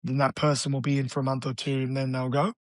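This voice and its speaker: A man, a real estate agent talking as an interview guest in an energetic, blunt, casual way. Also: mid-range voice, fast, dry recording, clean studio sound. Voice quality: raspy.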